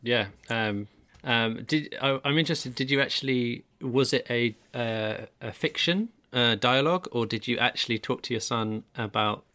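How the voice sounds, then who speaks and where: A man interviewing a guest, asking questions in a halting way with several restarts. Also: mid-range voice, pushed and bright, no room sound. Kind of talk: casual interview.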